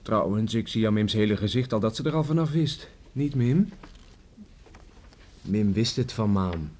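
Cocky young villager, slightly husky. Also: male voice, fairly low pitch, animated, a little slow, darkish tone. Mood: teasing, confident. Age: young adult. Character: cocky, cheerful young village man teasing his parents. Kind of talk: Dutch radio drama acting.

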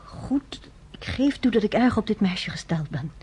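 An actress playing an earnest, resolute woman in a radio drama, speaking in a measured way. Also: mid-range voice, animated, darkish tone, slightly rough. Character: earnest, resolute grown woman who has chosen a side.

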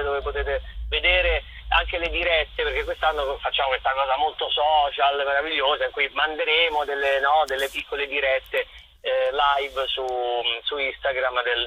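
A man's voice: fairly high pitch, sharp tone, husky, low-quality recording. A man chatting casually as a theatre performer in a radio interview, often repeating words and restarting phrases over a phone-style line. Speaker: theatre performer interviewed about his show.

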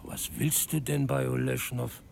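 A man's voice: medium pitch, little pitch movement, unhurried, gravelly, a little mellow, a little hiss or hum. An actor playing a tipsy, insistent old man with slurred speech in a radio play. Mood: slyly probing. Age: older.

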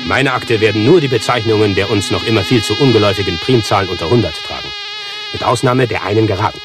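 A man's voice: on the low side, fast, natural voice. Man playing a pompous, long-winded playwright over music. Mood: self-important, enthusiastic. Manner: pompous, long-winded, declamatory, precise diction. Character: pompous, self-important avant-garde male playwright, verbose and absurd. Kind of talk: satirical radio play dialogue.

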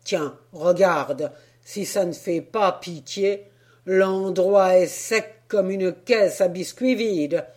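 A woman's voice: on the low side, slow pace, darkish tone, husky. A woman reading a story, voicing a blunt, no-nonsense male sailor who talks in a brusque, scolding way. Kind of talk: storybook character voice.

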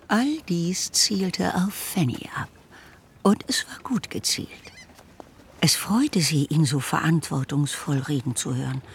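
A man narrating a classic romance novel for a radio play in a measured, articulate storytelling manner. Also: fairly high pitch, creaky, a little hiss or hum. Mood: measured, observant.

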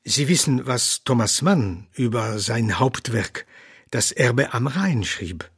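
An elderly man of learning answers in a radio interview with a husky, worn voice. He takes his time and phrases everything formally and reflectively. The sound is dry and close. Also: medium pitch, lively intonation, fairly bright tone, no echo, full character voice. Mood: measured. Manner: deliberate, measured, formal. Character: elderly learned doctor and musician, reflective, resolute.

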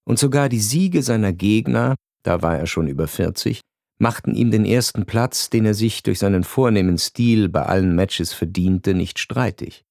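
A man reading a literary radio narration in a measured, wry way. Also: on the low side, creaky, darkish tone, no room sound, clean studio sound. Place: radio studio.

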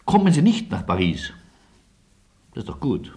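An actor playing a wry, anxious middle-aged refugee in a radio play, reasoning with deliberate irony and old-world courtesy. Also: male voice, lowish voice, huge pitch swings, mellow, husky, exaggerated voice. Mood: wry, insistent. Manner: deliberate, pointed, ironic reasoning. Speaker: lead character and narrator. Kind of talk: theatrical radio play acting and narration.

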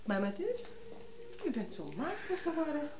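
An actress playing a kindly, solicitous convent nun in a radio drama, speaking politely and attentively. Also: female voice, high voice, big pitch swings, moderate pace, a little mellow. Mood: welcoming, solicitous. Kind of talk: naturalistic radio drama acting. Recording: echoey room with clear background noise.

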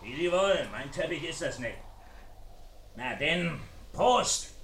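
An actor playing a wounded, menacing intruder who mocks and taunts through a door in a German radio crime play. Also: male voice, fairly high pitch, animated, slow pace, far from the mic, a little hiss or hum, heavily acted. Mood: mocking menace. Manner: jeering, mock-polite, swinging from muttering to shouting. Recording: echoey radio play, off the mic.

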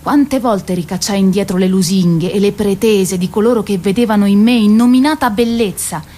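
Woman acting mythic Greek queen, weary, proud, ironic, declamatory, radio play monologue, medium pitch. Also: moderate pace, mellow, lightly acted. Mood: sorrowful. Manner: declamatory, ironic, lingering on repeated words. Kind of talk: theatrical radio drama monologue.

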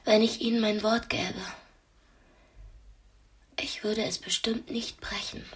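Radio drama: female actor as young captive, defiant and demanding, medium pitch, clipped insistent delivery. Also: fairly even intonation, slow, lightly acted. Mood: insistent bargaining.

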